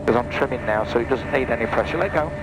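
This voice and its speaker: An English man giving calm step-by-step flight instruction in a small aircraft cockpit, heard over a headset intercom. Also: mid-range voice, fast talker. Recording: loud engine noise behind.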